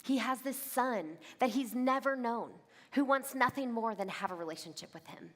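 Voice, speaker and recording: A woman's voice: a little high, normal speed, a bit bright. A woman in her thirties preaching a conversational sermon, speaking directly and relatably to a congregation. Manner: relatable, addresses listeners directly with reflective questions. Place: large church venue with long reverb. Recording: reverberant hall, amplified sermon.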